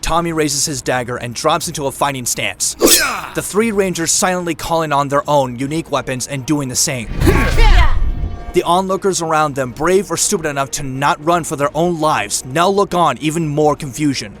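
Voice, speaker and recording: A man's voice: on the high side, normal speed. A man reading scripted dramatic narration for an audio drama, describing action scenes as a storyteller. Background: clear dramatic music.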